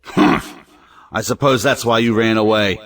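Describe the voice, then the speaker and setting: An actor playing a domineering, hot-tempered moralizing brother in an audio play, commanding and blustering. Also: male voice, on the low side, unhurried, fairly bright tone. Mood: contemptuous. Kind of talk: audio play acting of an old stage drama.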